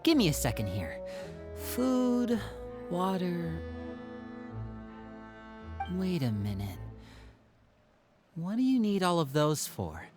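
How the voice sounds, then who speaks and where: A woman's voice: lowish voice, sing-song, slow pace, pauses often, darkish tone, soft background music. A woman playing a confident, poised young man, a protective older brother, in a video game. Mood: inquisitive.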